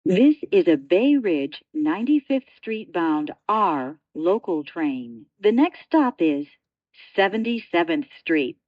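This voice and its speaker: A woman's voice: lowish voice, big pitch swings, slow, no room sound. A woman reading scripted automated train announcements in clipped, segmented phrases, as a subway announcer. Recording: dull lo-fi transit announcement audio.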